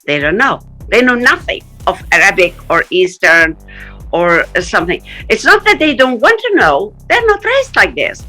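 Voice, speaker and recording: A middle-aged woman from Palestine telling stories in an interview, animated and often laughing. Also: mid-range voice, moderate pace, soft background music. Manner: animated, acts out quoted voices, laughs often. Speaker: interview guest. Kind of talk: casual storytelling in an interview.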